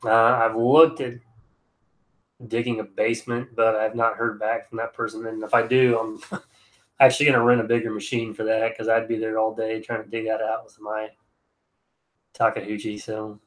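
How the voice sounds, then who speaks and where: A man's voice: on the low side, little pitch movement, moderate pace, low-quality recording. A man with a stuffed-up nose chatting casually on a home livestream, reading comments with easy, rambling restarts. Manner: easygoing, rambling, frequent restarts. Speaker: excavation contractor streaming live.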